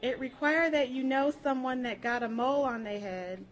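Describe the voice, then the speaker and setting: A woman's voice: high voice, fairly even intonation, moderate pace. A woman reading folksy prose aloud at a live program, with a playful, colloquial storytelling delivery. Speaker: public reader at a library program.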